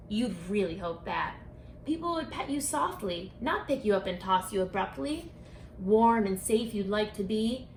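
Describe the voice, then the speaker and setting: A woman reading a rhyming picture book aloud for children, speaking expressively and deliberately, straight to her young listeners. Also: medium pitch. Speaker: children's book author and read-aloud presenter. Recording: echoey room with faint background noise.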